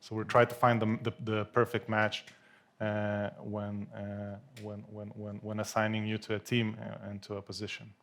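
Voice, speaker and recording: A man on a live office panel, speaking haltingly, repeating words as he adds clarifications. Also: on the low side, completely flat delivery, gravelly, a bit bright. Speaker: engineer on recruitment panel. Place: office event space.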